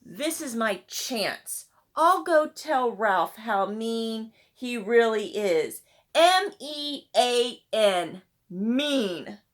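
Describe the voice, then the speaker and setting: A woman's voice: on the high side, expressive, drawn-out words. A woman voicing a sensitive little schoolgirl in a children's storybook, plaintive and earnest. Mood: angry, determined. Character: sad, sensitive little schoolgirl, teased but brave.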